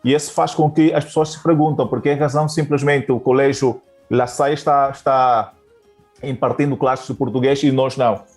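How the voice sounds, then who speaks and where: A man's voice: mid-range voice, steady tone, normal speed, darkish tone, faint music behind. A man from Angola, a Portuguese teacher, answering interview questions hesitantly with many fillers and restarts.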